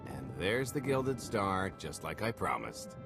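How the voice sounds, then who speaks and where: A man's voice: deep voice, big pitch swings, measured pace, vocal fry, heavily acted. A man playing a cocky, roguish smuggler in a video game, with wry, glib banter. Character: cocky roguish male smuggler pitching a heist. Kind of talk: cinematic video game voice acting. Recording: music behind.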